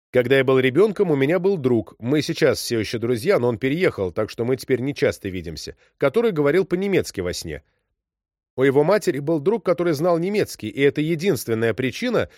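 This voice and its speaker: A man narrates a string of short first-person stories in a calm, even read. His voice sits at an ordinary middle pitch. The sound is dry and close, with no room around it. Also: dry recording. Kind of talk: scripted narration.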